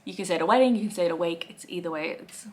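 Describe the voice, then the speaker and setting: A woman in her thirties with an Australian accent, chatting wryly to camera from her living room, with soft laughs and fillers. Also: lowish voice, racing. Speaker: lifestyle vlogger. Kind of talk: casual talk to camera.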